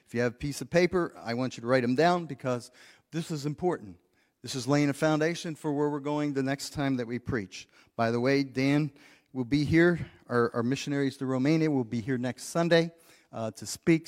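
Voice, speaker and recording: A man's voice: medium pitch, darkish tone. A man preaching a practical sermon to his congregation, earnest, often repeating words as he thinks and asking the room questions. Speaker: pastor. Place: church sanctuary. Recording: long church hall reverb.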